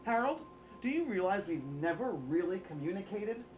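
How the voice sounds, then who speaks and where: A man's voice: high voice, sing-song, moderate pace, darkish tone, echoey room, lo-fi sound, soft background music, voice pushed up. A man voicing a pompous, earnest male cat from a storybook, preachy and emphatic. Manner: preachy, self-important, emphatic. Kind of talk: storybook character voice.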